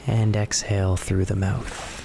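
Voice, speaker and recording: Slow, low-voiced man guiding relaxation. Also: vocal fry. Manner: measured, soothing, with long pauses between short phrases. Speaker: guided meditation narrator. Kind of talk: slow guided relaxation narration. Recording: steady ocean wave noise behind voice. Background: ocean waves and relaxation music.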